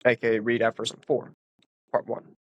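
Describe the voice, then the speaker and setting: A man with a stuffed-up, sniffly voice, at a middle pitch, reads philosophy aloud and comments on it. He talks earnestly and explains each point, leaning hard on key words. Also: completely flat delivery, moderate pace, dry recording, lo-fi sound. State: congested, sniffly. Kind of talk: reading and analysis of a philosophy text.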